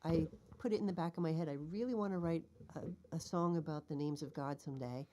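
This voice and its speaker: A woman, a guest singer-songwriter, chatting casually and telling an animated story with laughs. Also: low-pitched, quick pace, a little hiss or hum.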